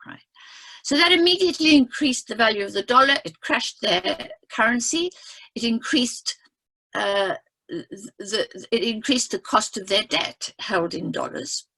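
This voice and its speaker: A woman's voice: on the high side, vocal fry, bright, forceful tone, breathy edge. An older woman from South Africa, an economist explaining with emphatic storytelling on a video call, often checking in with "right?". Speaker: economist speaking on a webinar panel. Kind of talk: impassioned explanatory talk.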